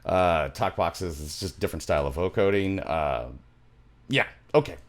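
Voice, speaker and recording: A man's voice: low voice, lively intonation, moderate pace. A middle-aged man giving a casual music production tutorial, rambling and thinking aloud as he works, recorded close on a mic in a small home studio.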